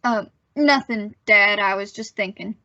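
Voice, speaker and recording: A woman's voice: medium pitch, measured pace, sharp tone, dry recording, dull audio. A woman playing a whiny, cheeky child in an old-time radio family comedy. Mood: cheeky protest. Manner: whining, complaining.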